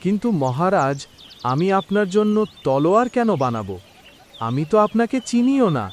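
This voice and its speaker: An actor playing a humble, honest, hardworking blacksmith in a cartoon, speaking earnestly and politely. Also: male voice, high voice, sing-song, moderate pace, slight background noise. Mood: calm, polite. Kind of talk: cartoon voice acting.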